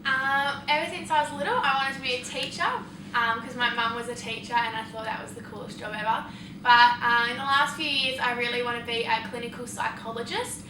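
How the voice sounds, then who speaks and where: A woman's voice: on the high side, fairly even intonation, a little fast. Teenage Australian female student, casual answers, echoey and noisy recording. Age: late teens. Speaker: student panellist. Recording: echoey noisy room, distant mic.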